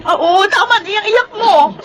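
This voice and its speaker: A woman playing an excitable, teasing younger daughter in a Filipino radio drama, exclaiming playfully. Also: extremely high pitch, moderate pace, a little hiss or hum. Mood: giddy, teasing.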